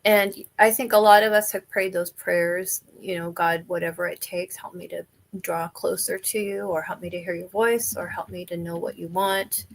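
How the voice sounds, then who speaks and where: Gravelly-voiced woman, candid and earnest. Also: fairly low pitch, rough recording. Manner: candid and earnest, laughs easily. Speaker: podcast guest. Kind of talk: conversational interview.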